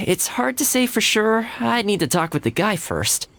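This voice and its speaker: A man's voice: sits high, very animated, unhurried, vocal fry, fairly bright tone, heavily acted. A man playing a friendly, patient young retainer in a video game, speaking in an easygoing, conciliatory way. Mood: easygoing, helpful. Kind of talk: video game character acting.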